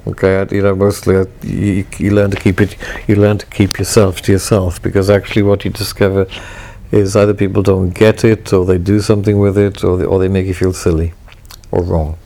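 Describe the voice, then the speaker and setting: A middle-aged man from Wales reflecting on his past in a halting, wry way, full of restarts. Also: low-pitched, level tone, creaky, mellow. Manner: halting, many restarts and self-corrections, wry. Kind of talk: reflective personal talk.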